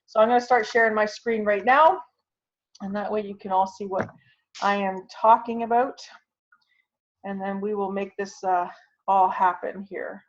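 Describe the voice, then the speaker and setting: A woman's voice: medium pitch, fairly even intonation, normal speed, long pauses. A woman giving an informal online tutorial, talking conversationally and thinking aloud as she demonstrates over a video call. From Canada. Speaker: online class instructor. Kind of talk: informal tutorial with screen sharing. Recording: muffled, lo-fi video call audio.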